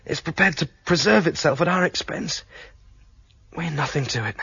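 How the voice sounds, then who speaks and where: A man's voice: fairly high pitch, moderate pace, gravelly, bright, forceful tone, slightly rough, no echo, exaggerated voice. An actor playing a determined male rebel who exposes a ruling computer, speaking in an urgent, persuasive way. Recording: dull, dry lo-fi radio drama recording.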